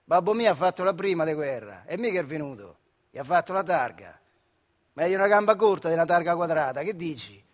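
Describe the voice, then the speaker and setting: A working-class factory hand from a stage monologue, with a notably high male voice and a central Italian Marche accent. He tells his life colloquially, earthy and wry, in the manner of radio theatre storytelling. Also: lively intonation, fairly quick. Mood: wry narrating. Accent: Marche, central Italy. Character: Adriano, working-class old factory man telling his childhood memories. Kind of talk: theatrical monologue storytelling in dialect-tinged speech.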